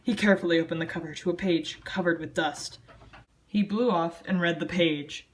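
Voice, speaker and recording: A woman's voice: lowish voice, moderate pace. Woman doing a mock-dramatic reading, sardonic. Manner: theatrical, self-mocking, sardonic. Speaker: amateur storyteller reading own childhood writing. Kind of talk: mock-dramatic reading aloud with casual snarky asides.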